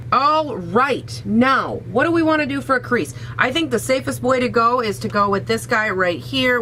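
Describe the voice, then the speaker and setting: Raspy-voiced young woman with a cold. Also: medium pitch, sharp tone, slight background noise, poor audio. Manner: chatty, sassy, swears casually. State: hoarse from a cold. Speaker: beauty vlogger. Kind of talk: casual makeup try-on chat.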